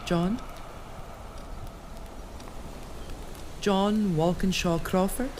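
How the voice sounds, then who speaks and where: A resolute, tender lady of an old castle, played by an actress in a period audio drama. Her voice sits at a medium pitch and her delivery is formal and earnest. Some background noise surrounds her. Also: fairly even intonation, slow pace, natural voice. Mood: solemn, pleading. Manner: formal, earnest, addressing an unseen spirit.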